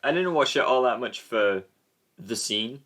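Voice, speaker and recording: A man's voice: medium pitch, expressive, unhurried, a bit bright. A man casually commentating on a film as one of a joking group of hosts. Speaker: host of a film commentary show.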